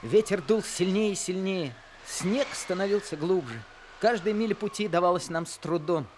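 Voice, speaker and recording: A man playing a feverish, exhausted young man who narrates his story in a radio play, earnest and quiet. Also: high-pitched, animated, normal speed, slight background noise. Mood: weary. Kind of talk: radio drama narration and dialogue.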